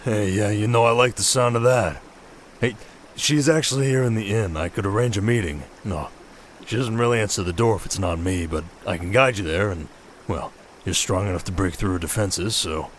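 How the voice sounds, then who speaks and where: A man's voice: on the low side, normal speed, a little hiss or hum, natural voice. A man playing a chummy, scheming oni aide in a fantasy audio roleplay, griping in casual, colloquial talk. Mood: eager, scheming.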